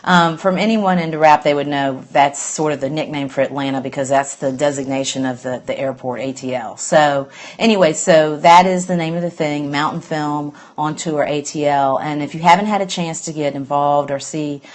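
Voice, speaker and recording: A woman's voice: sits low, fairly even intonation, normal speed. A middle-aged woman from Alabama with a Southern accent, chatting in a rambling, easygoing way on a phone live stream as host of a news show. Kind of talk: casual live-stream chat.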